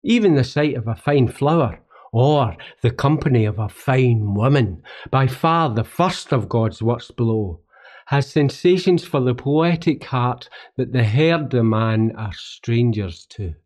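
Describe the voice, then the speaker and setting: A man's voice: medium pitch, expressive, measured pace, darkish tone, close to an everyday voice. A man with a Scottish accent playing a humble, reflective poet in a radio drama, speaking in an earnest, literary way. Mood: earnest, wistful. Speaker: actor in a radio play. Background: plucked guitar music.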